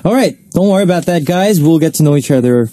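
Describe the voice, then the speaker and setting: A man's voice: on the high side, animated, fast talker, warm tone. A young man raised in Australia, hosting a casual radio chat show in a studio, talking loosely with lots of fillers and echoing his co-host's words. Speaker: radio host.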